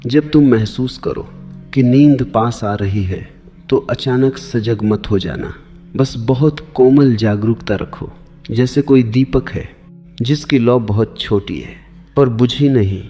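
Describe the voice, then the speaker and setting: A man narrating a guided sleep meditation as spiritual discourse, giving soothing, deliberate instructions in short phrases with pauses. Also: mid-range voice, mellow. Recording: long reverb, slightly dull, music behind.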